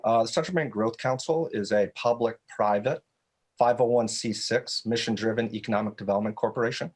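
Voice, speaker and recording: Lower-voiced man giving formal remarks. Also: a little fast, a little mellow, breathy edge. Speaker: guest speaker, economic development director.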